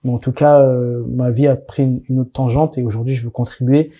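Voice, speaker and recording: A man's voice: mid-range voice, steady tone, fairly quick, warm tone, muffled recording. A man in his thirties from France, a guest talking casually in an interview with many restarts and fillers. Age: 30s.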